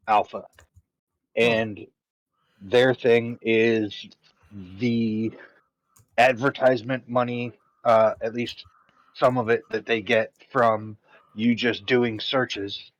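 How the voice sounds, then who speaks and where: A man's voice: fairly low pitch, no echo. A man chatting casually on a stream, rambling and spelling words out letter by letter. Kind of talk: casual chat. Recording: dull lo-fi mic.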